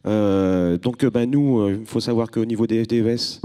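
Low-voiced corporate man on a panel. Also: warm tone, clear, resonant tone. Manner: measured, corporate, many fillers. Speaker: industry representative on a panel. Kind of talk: panel discussion. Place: convention stage, large hall. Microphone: handheld mic.

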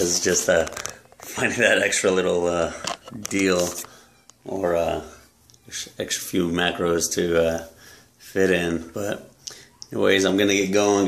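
A young man talking casually to the camera about his grocery haul, relaxed and halting, with many ums and uhs, in a small indoor room. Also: low voice, creaky, slight background noise. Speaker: fitness vlogger. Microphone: camera close up at arm's length.

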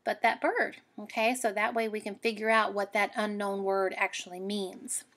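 A woman, a teacher giving an expressive, explanatory read-aloud lesson for children. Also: medium pitch, normal speed.

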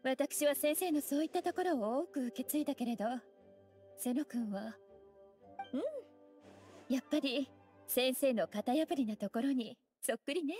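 A woman voicing a graceful, teasing young scholar mage in an anime game, with elegant, playful, unhurried phrasing. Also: sits high, a little mellow, close to an everyday voice. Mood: playful, pleased. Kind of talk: Japanese anime game voice acting. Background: music.

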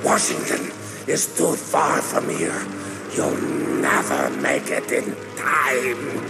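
An actor playing a sneering, desperate vampire villain in a video game, with a strained voice. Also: male voice, medium pitch, big pitch swings, vocal fry, heavily acted. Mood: tormented, desperate. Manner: taunting, drawn-out, gasping and shouting. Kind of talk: video game cutscene acting. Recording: loud music under the voice.

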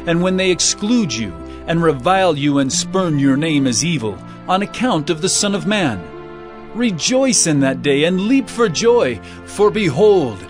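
Earnest bible teacher preaching, higher male voice, really expressive and emphatic, music behind. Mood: tender, uplifting. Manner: solemn, emphatic, measured preaching. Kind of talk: dramatized audio Bible acting.

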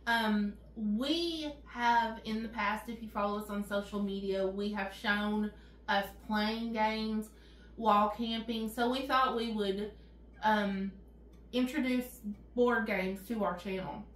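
A woman in her thirties opens the chat in a friendly, upbeat voice of middling pitch. Her voice rings a little in an echoey room. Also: steady tone, takes it slowly, a bit bright. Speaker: co-host. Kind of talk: casual channel chat. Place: indoor room with game table and backdrop.

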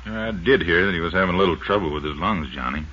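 A man's voice: sits low, quick pace, bright, forceful tone, breathy edge, exaggerated voice. A man playing an uneasy, deferential, folksy sidekick with an American accent in a 1950s radio drama. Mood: hesitant. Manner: hesitant, polite. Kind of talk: 1950s radio western-flavored drama acting. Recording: noisy, dull 1950s radio recording.

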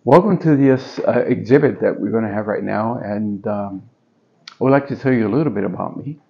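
An older man with a Spanish-tinged New York accent talks through his paintings in a friendly, halting way. His voice has a gravelly fry to it. The sound is dull and lo-fi, picked up by a camera a few feet off in a small stone-walled gallery. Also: on the low side. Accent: Puerto Rican Spanish-tinged New York. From Puerto Rico. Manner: friendly, hesitant with fillers and restarts, gesturing. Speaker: artist. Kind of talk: casual gallery talk.

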